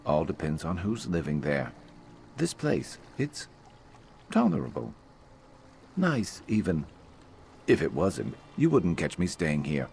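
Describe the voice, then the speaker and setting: A brooding reptilian adventurer from a video game, speaks in an extremely low, creaky growl. The delivery is dry and grumbling, yet it swings expressively up and down, wry and gruff throughout. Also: male voice, wildly swooping pitch, slow pace, pauses often, darkish tone, a little hiss or hum, full character voice. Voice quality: gravelly. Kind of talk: video game companion dialogue.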